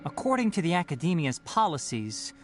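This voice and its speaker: Composed young scholar, music behind. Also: male voice, on the high side, sing-song. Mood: firm, instructing. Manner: precise, measured, instructive. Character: young male scholar and ranger, composed, matter-of-fact. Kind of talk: video game voice acting.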